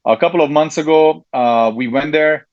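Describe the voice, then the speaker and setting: Brief: Hungarian-accented man, guest in an interview, halting, full of ums, dry lo-fi audio. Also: on the high side, dry recording. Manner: hesitant, frequent ums and restarts. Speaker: network marketing leader, guest. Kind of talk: interview answers.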